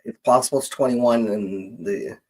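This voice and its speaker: Husky-voiced man, halting, thinking aloud. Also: medium pitch, poor audio. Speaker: genealogist hosting a question-and-answer stream. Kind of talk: casual live screen-share walkthrough.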